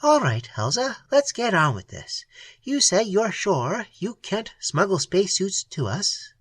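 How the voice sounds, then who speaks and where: A reader playing a brusque, confident old schemer in an audiobook, talking in a dismissive, blustering way. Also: female voice, medium pitch, very animated, a little slow, husky, no room sound, pitched above the performer's own voice. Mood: scheming.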